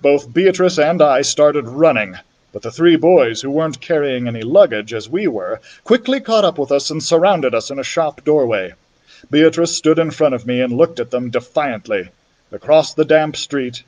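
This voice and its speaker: A man reads a children's story aloud in an expressive storyteller's style. His voice sits a little high and moves up and down in a very lively way. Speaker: library storyteller.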